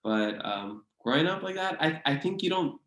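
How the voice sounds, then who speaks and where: A young man from Hawaii whose first language is Hawaiian, talking as a podcast guest in a relaxed, rambling way with lots of fillers and restarts. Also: a little high, moderate pace, echoey room. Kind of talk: casual interview chat.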